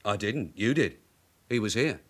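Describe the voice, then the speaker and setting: An actor playing a shrewd, blunt middle-aged police detective sergeant in a radio drama. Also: male voice, fairly low pitch, expressive, normal speed. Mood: probing, terse. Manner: blunt, dry, probing.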